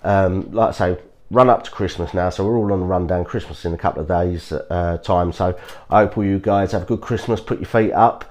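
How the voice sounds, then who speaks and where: A man hosting a podcast, sounding croaky from a cold, giving earnest casual advice with frequent restarts and repeated reassurances to his listeners. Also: low voice, a little fast. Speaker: podcast host. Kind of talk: casual motivational talk.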